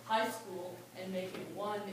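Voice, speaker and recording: A woman in the audience asking a question at a town forum, speaking loudly and plainly, with hesitations. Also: medium pitch, fairly even intonation, unhurried, very noisy. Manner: loud, plain-spoken, hesitant. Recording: echoey hall from far off.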